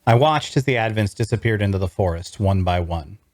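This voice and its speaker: A man playing a brooding adventurer, narrating his grim journey in a measured, deliberate way at a scripted table read. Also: on the low side, expressive, darkish tone, no echo, clean studio sound, natural voice.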